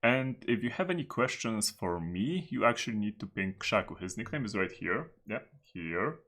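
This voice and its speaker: A man with a very low voice hosts his livestream in an easygoing, rambling manner. His tone is bright and forceful despite a lingering cold, and he peppers his talk with filler words. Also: normal speed. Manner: relaxed and chatty, with frequent filler words. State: recovering from a cold, voice partly lost. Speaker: livestream host. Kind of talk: casual livestream chat.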